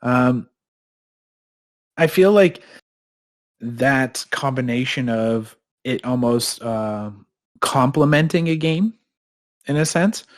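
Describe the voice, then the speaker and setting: A man's voice: medium pitch, expressive, slow pace, long pauses. A man co-hosting a podcast in casual chat, a little stuffed up in his nose, rambling with fillers and restarts. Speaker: podcast co-host.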